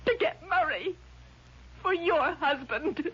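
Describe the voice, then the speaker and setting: An actress playing a distraught, accusing sister, grieving and frightened, in intense 1970s radio drama style. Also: female voice, very high voice, animated, takes it slowly, fairly bright tone, heavily acted. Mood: bitter accusation. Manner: intense, melodramatic, emphatic. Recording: dull, noisy vintage radio recording.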